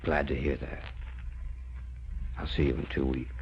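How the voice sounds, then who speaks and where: A man's voice: extremely low pitch, level tone, a little slow. An actor playing an obsessed older scientist and father, commanding and zealous, in an old-time radio drama. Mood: brisk, commanding. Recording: muffled, noisy vintage radio recording.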